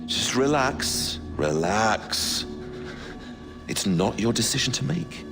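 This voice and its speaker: An actor playing a calm, menacing male terrorist leader in a video game, with a measured, commanding and coldly threatening delivery. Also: fairly low pitch, gravelly, exaggerated voice. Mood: quiet menace. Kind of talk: cinematic video game acting. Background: loud dramatic music.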